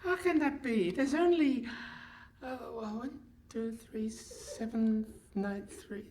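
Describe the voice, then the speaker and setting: A woman's voice: on the high side, slow pace, a little mellow. A woman playing a naive, easily affronted boy scout in a comic live radio play, plaintive and earnest. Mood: puzzled. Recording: noisy live hall stage recording.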